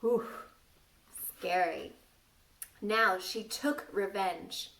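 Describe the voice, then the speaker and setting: A woman's voice: mid-range voice, drawn-out words, creaky, fairly bright tone. A woman reading a story aloud to young children, playfully stopping to ask them questions and exclaim. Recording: distant microphone.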